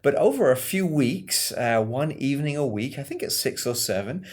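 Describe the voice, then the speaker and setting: A man's voice: medium pitch, animated, normal speed. A man co-hosting a local community podcast, talking in an earnest, friendly way with frequent fillers. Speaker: podcast co-host.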